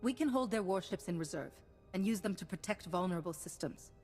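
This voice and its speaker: An actress playing a calm, principled government agent in a science-fiction video game, speaking in a measured, firm, diplomatic way. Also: female voice, on the low side, breathy edge. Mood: firm, reasoning. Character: composed, principled female Republic agent, calm and confident. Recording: long hall reverb, music behind.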